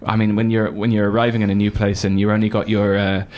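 A man from the UK with a British accent softened by New Zealand speech, talking about volunteering with frequent pauses and restarts. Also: fairly low pitch, little pitch movement. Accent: British with New Zealand touches. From United Kingdom. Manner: halting, many fillers and restarts; upbeat and enthusiastic. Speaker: Red Cross volunteer coordinator. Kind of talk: radio interview guest.

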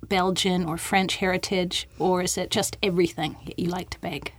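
A woman's voice: on the low side, fairly even intonation, normal speed, dry recording. A woman hosting a community radio program who presents the show and asks a young guest friendly, encouraging questions.

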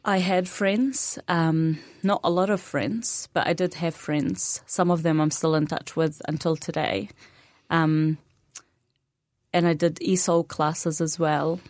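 Palestinian woman, native arabic speaker, young adult, low voice, soft-spoken, many pauses, dry studio sound. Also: steady tone, dry recording. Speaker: interview guest. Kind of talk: radio interview conversation.

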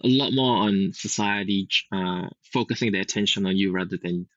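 A man's voice: deep voice, moderate pace, fairly bright tone, no echo. A young man from Singapore with a Singaporean accent, speaking reflectively as a podcast guest, with frequent fillers and restarts. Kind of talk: casual conversation. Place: home. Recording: dull lo-fi home recording.

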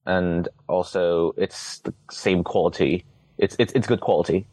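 Young man, Cantonese native from Hong Kong, deep low voice, halting and wry, dry recording. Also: fairly even intonation, a little mellow, no echo. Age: young adult. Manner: hesitant, many restarts and fillers, wry humor. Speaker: interviewee, student. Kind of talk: casual interview answers.

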